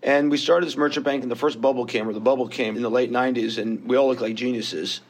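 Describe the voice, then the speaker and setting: A man's voice: mid-range voice, fairly even intonation, fast talker. A man in his fifties with a New York accent, telling his story as a guest on a podcast interview in a candid, self-deprecating way. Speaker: guest investor.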